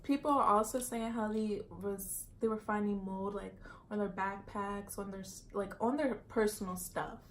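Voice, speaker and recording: A giggly young woman tells a story with her roommate, laughing often as she talks. Her voice sits at an ordinary mid pitch, with a faint hiss behind it. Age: young adult. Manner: laughs easily, quick excited talk. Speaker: roommate and guest. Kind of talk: casual storytime chat.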